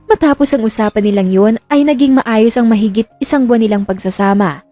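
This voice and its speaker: High-voiced woman narrating a romance story. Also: fairly quick, mellow, soft background music. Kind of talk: scripted dramatic romance narration.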